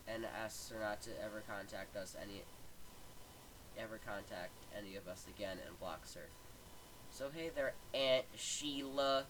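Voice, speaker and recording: A man's voice: fairly low pitch, background noise. A man casually reading online stories aloud on a home microphone, stumbling over words and stopping to comment. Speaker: story reader.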